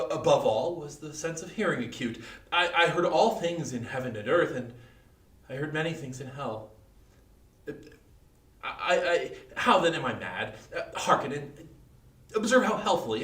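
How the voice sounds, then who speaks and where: A man playing a nervous, unhinged murderer who insists he is sane, in a halting, stammering, emphatic dramatic horror reading. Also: on the high side, a bit bright. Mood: agitated, defensive, then controlled, confiding. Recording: echoey room, distant microphone.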